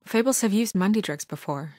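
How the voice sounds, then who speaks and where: A woman acting in a video game as a composed, weary and stern administrator who speaks in a crisp, businesslike, quietly troubled way. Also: mid-range voice, animated, fairly quick, no room sound, clean studio sound, close to an everyday voice. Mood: businesslike, firm.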